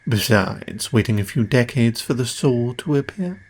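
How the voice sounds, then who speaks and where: A man's voice: medium pitch, expressive, moderate pace, slight background noise, natural voice. A man playing a cocky, condescending, powerful demon who makes deals, performed as a theatrical audio roleplay spoken straight to the listener. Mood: amused, smug.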